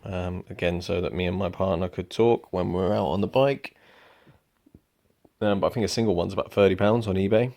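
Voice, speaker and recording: Low gravelly man, casual review. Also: a little fast. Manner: relaxed, rambling, many ums. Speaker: motorcycle vlogger. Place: indoors at home.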